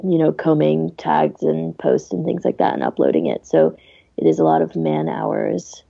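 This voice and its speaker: A woman hosts in a relaxed, chatty way. Her voice is dark and husky, heavy with vocal fry. The recording is somewhat dull and lo-fi. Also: deep voice, normal speed, dry recording. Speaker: podcast host. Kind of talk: casual chat.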